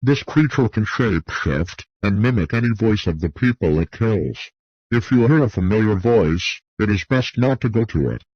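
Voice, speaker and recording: A man reads a scripted emergency broadcast announcement in a formal, measured manner, as an alert announcer. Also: lowish voice, expressive, breathy edge, no room sound, highs cut off.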